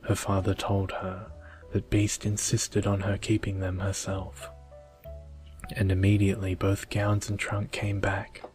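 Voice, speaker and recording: A soft-spoken man slowly reading a bedtime story in a soothing ASMR style. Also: low voice, completely flat delivery. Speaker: storyteller, video creator. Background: music.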